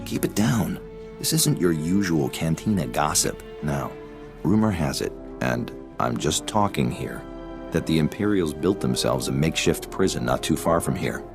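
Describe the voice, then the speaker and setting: Shady spy contact for a game, extremely low, creaky, casual and evasive. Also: male voice, lively intonation, moderate pace, over music. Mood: conspiratorial. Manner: wry, offhand, conspiratorial. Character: world-weary, sly male intelligence contact, casual and evasive. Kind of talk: video game dialogue acting.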